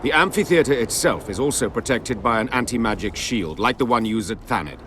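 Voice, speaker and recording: An actor playing a self-assured, aloof court official in a fantasy video game, speaking in a cool, formal, matter-of-fact way. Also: male voice, mid-range voice, lively intonation, fairly quick, a bit bright, slight background noise, close to an everyday voice. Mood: cool, dismissive.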